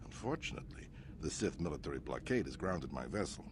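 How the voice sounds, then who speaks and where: An actor playing a smug, imperious crime boss in a video game, with a pompous, suavely threatening manner. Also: male voice, very deep voice, big pitch swings, fast talker, background noise. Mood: boastful. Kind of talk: dramatic video game acting.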